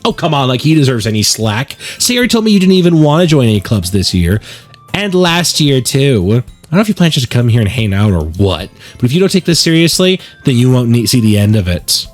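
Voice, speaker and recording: A man voicing a feisty, sharp-tongued, easily indignant teenage schoolgirl in a visual novel. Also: medium pitch, big pitch swings, fairly quick, faint music behind. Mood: scolding. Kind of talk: visual novel character read-aloud.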